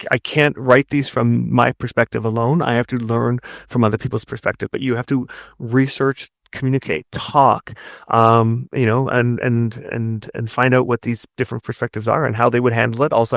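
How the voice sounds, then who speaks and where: A man talking casually as an interview guest, explaining things in a halting way and often doubling back on his words. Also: mid-range voice, creaky, dry recording, studio quality. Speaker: guest, narrative designer and writer.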